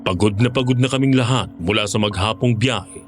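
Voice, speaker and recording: A man's voice: lowish voice, a little fast, soft background music. A man with a Filipino accent telling a scripted first-person horror story as the narrator. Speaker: narrator of a horror audio drama.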